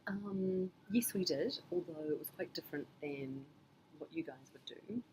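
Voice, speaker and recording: Measured middle-aged woman, thoughtful. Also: low voice, a little mellow, a little hiss or hum, rough recording. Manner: thoughtful, measured, with frequent ums. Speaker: parent being interviewed by her child. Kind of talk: casual family interview.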